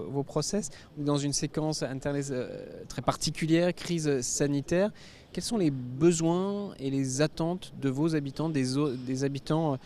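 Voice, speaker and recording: A man hosting a TV panel, putting questions in a halting way and repeating phrases as he forms them. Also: medium pitch, a little mellow. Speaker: host, interviewer. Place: TV set at a convention. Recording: clear background ambient noise.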